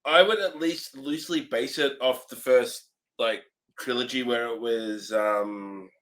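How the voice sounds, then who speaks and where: Male co-host, crisp bright tone at an average pitch, informal conversational review style. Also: a little slow, rough recording. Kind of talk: casual movie review chat.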